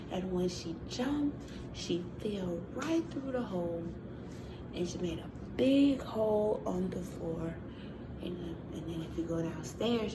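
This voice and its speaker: Slow-paced woman storyteller, noisy recording. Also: medium pitch. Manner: slow, deliberate, repeating phrases, with sound effects. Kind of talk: improvised oral storytelling for children. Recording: clearly noisy, ambient room sound.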